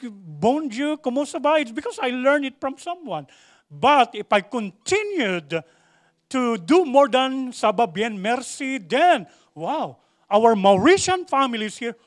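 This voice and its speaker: A man preaching a sermon to a congregation, repeating phrases for emphasis and asking the church questions. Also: very high-pitched. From Philippines. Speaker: pastor.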